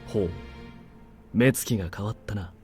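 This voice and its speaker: An actor playing a cool, commanding officer in a Japanese visual novel, curt, composed and dryly sardonic. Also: male voice, fairly low pitch, fast, close to an everyday voice. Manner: curt, composed, quietly menacing. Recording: game music under the voice.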